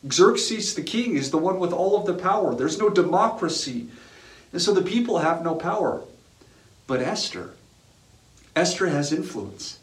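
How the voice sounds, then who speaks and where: A man preaching as a pastor in a casual, colloquial sermon style, telling stories and repeating phrases for emphasis. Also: on the high side. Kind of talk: sermon with conversational storytelling and humor. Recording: roomy, echoing sound.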